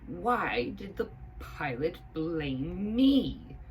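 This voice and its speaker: Lady doing an eager talking hat guy for a kids story, pitched up and loud, slow punchy words, kinda indignant. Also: very animated, a little hiss or hum, heavily acted. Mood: puzzled, hurt. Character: eager, well-meaning, indignant talking high hat, male. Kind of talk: children's storybook character voice.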